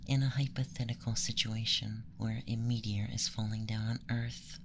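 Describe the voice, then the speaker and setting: A man playing a curious alien femboy in an ASMR roleplay, speaking softly and deliberately in a gentle, breathy near-whisper. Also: fairly low pitch, flat delivery, noisy background, close to an everyday voice. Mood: curious, calm. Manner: deliberate, gentle, questionnaire-like delivery with pauses. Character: soft-spoken curious alien femboy conducting a formal assessment. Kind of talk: ASMR roleplay, close soft speech and whispering.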